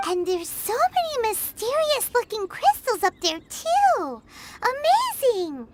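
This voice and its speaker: A woman voicing a tiny, childlike, bossy fairy companion in a video game, squeaky and excitable. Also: takes it slowly, heavy background noise, heavily acted. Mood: excited. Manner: speaks of self in third person, excitable. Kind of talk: video game character acting.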